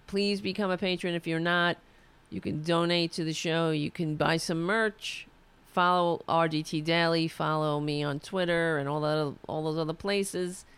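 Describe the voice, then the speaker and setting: Fiery, hoarse woman ranting slowly. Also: sits low. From United States. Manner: fiery, ranting, restarts mid-sentence. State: losing her voice. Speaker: political show host. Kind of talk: impassioned political monologue.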